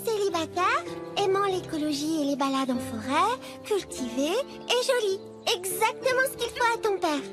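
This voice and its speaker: A woman voicing a playful, romantic, scheming schoolgirl in a French cartoon, excitable and theatrical. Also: extremely high pitch, moderate pace, over music. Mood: eager, scheming. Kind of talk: French animated series voice acting.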